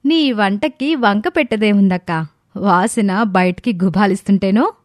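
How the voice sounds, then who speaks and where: A woman's voice: mid-range voice, unhurried, dry recording, clean studio sound, close to an everyday voice. A woman voicing a friendly, cheerful young village daughter-in-law in a story, affectionate and full of praise. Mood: cheerful, admiring.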